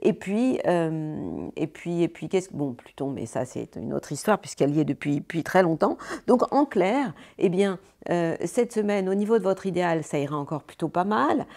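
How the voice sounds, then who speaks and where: A woman's voice: lowish voice, big pitch swings, moderate pace, few pauses, mellow. A woman hosting a weekly horoscope in a chatty, bubbly way, full of fillers and little self-corrections. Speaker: astrologer, channel host. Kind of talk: casual weekly horoscope talk.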